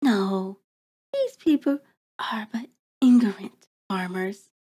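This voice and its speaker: A woman's voice: medium pitch, animated, slow pace, dark tone, breathy edge. A woman playing a cruel, predatory vampire in an ASMR horror roleplay, taunting and haughty, savoring words in formal diction. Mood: mocking, haughty. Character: cruel, predatory female vampire toying with her captive.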